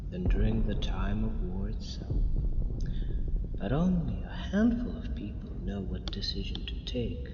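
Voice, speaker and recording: Guy doing mad scheming time lord villain for audio drama, drawn out and slow, echoey, music behind. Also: on the low side, noisy background. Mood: sinister, brooding. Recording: echoey hall reverb, dull sound, loud music.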